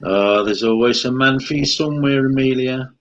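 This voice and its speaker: A man's voice: mid-range voice, normal speed, fairly bright tone, lo-fi sound. A man co-hosting a live chat stream, teasing and joking while reading out comments. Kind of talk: casual live-stream banter.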